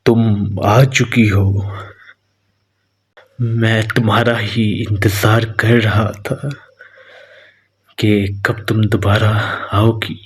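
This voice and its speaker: A man playing a cold, aloof young vampire king in a dramatic romantic ASMR roleplay, with a husky, breathy voice. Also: on the low side, flat delivery, exaggerated voice. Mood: grieving, tender. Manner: aloof, condescending, slow deliberate delivery.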